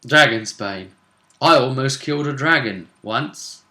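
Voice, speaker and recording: A man's voice: mid-range voice, measured pace, sharp tone, reverberant. A man chatting casually as he hosts a recap of a tabletop role-play game.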